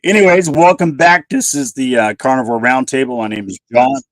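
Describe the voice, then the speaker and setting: A man's voice: mid-range voice, fairly quick, no room sound. A man hosts a casual online roundtable in an informal, chatty way. His voice is a bit scratchy from a cold and is recorded dry, with no room sound. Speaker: host of a panel livestream. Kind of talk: casual chat, hosting.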